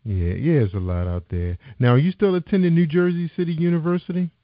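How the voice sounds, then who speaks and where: A man hosting an internet radio interview, encouraging his guest with steady affirmations. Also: a little high, fast. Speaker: internet radio show host. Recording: dry, muffled lo-fi audio.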